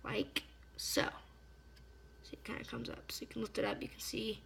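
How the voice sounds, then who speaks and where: A slightly hoarse woman giving a casual hands-on fly-tying tutorial, chatty and often stopping to search for a word. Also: mid-range voice, flat delivery, moderate pace, a bit bright, a little hiss or hum. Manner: chatty, stops to search for words.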